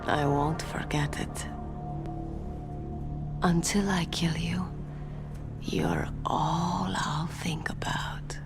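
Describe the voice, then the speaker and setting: A woman playing a cold, vengeful female assassin in a video game, with a breathy, menacing, taunting delivery. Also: deep voice, lively intonation, extremely slow, pauses often, exaggerated voice. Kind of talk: dramatic video game voice acting. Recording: loud music under the voice.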